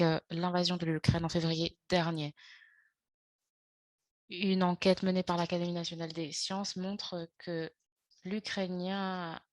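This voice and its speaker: Low-voiced woman giving a halting webinar talk. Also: dry recording. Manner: halting, with frequent fillers and self-corrections. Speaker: webinar presenter. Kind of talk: spoken lecture in a webinar. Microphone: video call.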